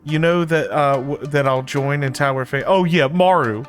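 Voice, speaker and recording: A man's voice: on the high side, normal speed, soft background music. A man streaming a video game, chatting casually and informally, often repeating his phrases. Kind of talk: casual livestream commentary and chat.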